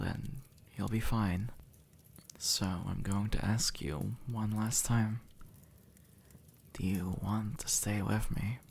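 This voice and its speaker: A man playing an easygoing, centuries-old demon who was once human, speaking gently and casually to the listener in an intimate audio roleplay. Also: fairly low pitch, vocal fry, slight background noise. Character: easygoing two-century-old male demon, formerly human, reassuring and teasing.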